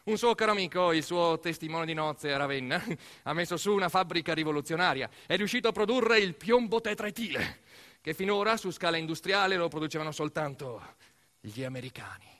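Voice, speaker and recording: A man narrating a scripted theatrical monologue, telling the story in an emphatic, expressive way. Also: fairly high pitch, brisk. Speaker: actor-narrator of a solo theatre piece.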